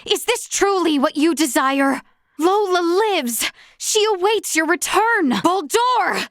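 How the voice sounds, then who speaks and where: A woman's voice: high voice, dry recording, studio quality. A woman voicing a formal, earnest, resolute young noblewoman in a video game, speaking precisely in courtly, old-fashioned phrases. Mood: urgent, defiant. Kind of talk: video game character dialogue.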